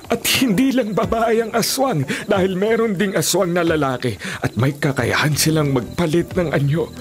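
A fairly high-voiced older man, played by an actor in a Filipino radio drama. He is fatherly and protective, explaining folklore in a reassuring way, over a music bed. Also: natural voice. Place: small radio studio. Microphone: studio condenser microphone.

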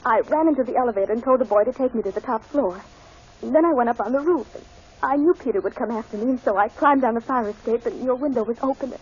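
This muffled old radio play features a flustered young bride. Her voice is fairly high and a little husky, with emotive, stammering phrasing. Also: quick pace, a little mellow. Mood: frightened, pleading. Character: flustered, pleading young runaway bride, stubborn. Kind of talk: 1940s radio acting.

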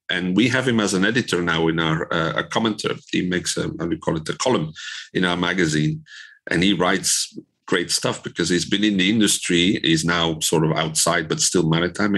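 A man's voice: low-pitched, fairly bright tone. A man in his fifties from Belgium answering in a conversational podcast interview, rephrasing as he goes. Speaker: interview guest, trade association director.

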